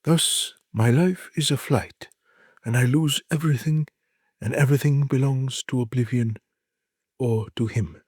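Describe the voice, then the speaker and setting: A man with a husky voice giving a deliberate, solemn dramatic reading of literary short stories, with measured pauses. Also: medium pitch, darkish tone, no room sound, studio quality.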